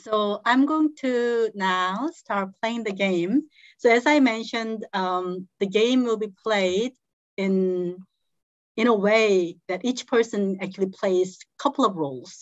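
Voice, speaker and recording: A woman's voice: medium pitch, measured pace, no echo. Woman host guiding a group game, patient and explanatory, dry, dull call sound. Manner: patient, explaining step by step with soft laughs. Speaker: game facilitator. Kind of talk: casual guiding of an online game. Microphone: video call.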